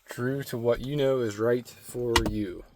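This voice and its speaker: A man giving a slow, meditative spirit card reading with a strained, slightly hoarse throat and many filler words. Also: medium pitch, steady tone, noisy background. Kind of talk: intuitive oracle card reading.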